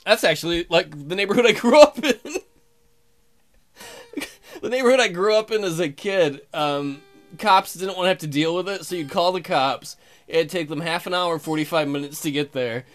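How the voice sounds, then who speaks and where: A man's voice: fairly high pitch, normal speed. A man doing jokey, crude, rambling commentary as he plays and reads a game aloud. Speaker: let's play host.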